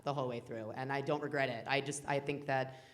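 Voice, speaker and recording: Woman, 30s, low-pitched director, rambling and animated, full of fillers. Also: quick pace. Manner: rambling, many fillers and restarts, animated quoting. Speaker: film director. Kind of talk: casual panel chat. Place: festival cafe stage.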